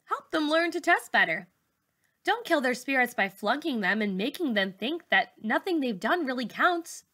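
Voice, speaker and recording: Woman reader as supportive female teacher, lifted pitch, bright tone, emphatic, encouraging, frank, storybook dialogue. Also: big pitch swings, moderate pace, full character voice. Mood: persuasive. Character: supportive, easygoing female teacher, frankly urging a colleague to relent. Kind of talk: children's novel read-aloud dialogue.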